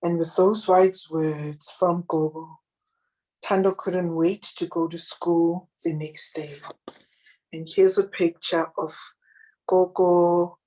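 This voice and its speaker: A woman with a deep, husky, raspy voice reading her own children's picture book aloud and chatting about it. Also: poor audio. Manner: gentle, unhurried reading aloud with picture commentary. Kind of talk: children's storybook reading, then casual chat.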